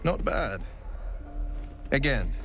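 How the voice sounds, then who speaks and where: An actor playing a steady, battle-hardened fighter in a video game. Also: male voice, medium pitch, extremely slow, faint music behind, full character voice. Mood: challenging. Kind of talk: video game character acting.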